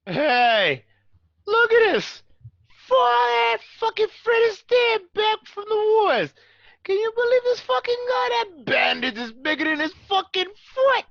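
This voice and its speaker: A woman playing a volatile, drunken male mobster in a radio drama, taunting and bullying in a forceful, drawn-out, profane way. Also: extremely high pitch, very animated, vocal fry, no echo, muffled recording, full character voice. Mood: drunken mockery. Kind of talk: amateur radio drama acting.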